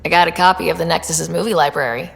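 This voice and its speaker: Young female expedition leader, lowish voice, vocal fry, measured, earnest, sci-fi game dialogue. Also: fairly even intonation. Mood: steady. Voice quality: low, slightly gravelly. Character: young female space expedition leader, steady former peacekeeper.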